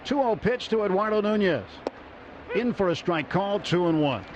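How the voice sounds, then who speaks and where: High-voiced American man calling baseball on radio. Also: animated, moderate pace. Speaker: baseball play-by-play announcer. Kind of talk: live radio sports commentary. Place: stadium broadcast booth. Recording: dull radio broadcast, loud stadium crowd, noisy. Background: loud crowd and stadium noise.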